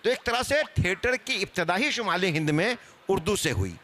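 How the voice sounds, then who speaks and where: Panelist, male. High, sharp-edged voice, scholarly explainer in live discussion. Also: expressive, moderate pace. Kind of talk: spoken panel discussion on theatre history.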